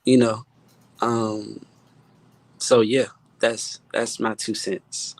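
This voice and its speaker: A man's voice: on the low side, level tone, extremely slow, creaky, low-quality recording. A man, a music producer and guest, talking in an impassioned, preaching style, earnest and emphatic, often repeating his phrases for weight. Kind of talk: impassioned, preaching-like panel chat.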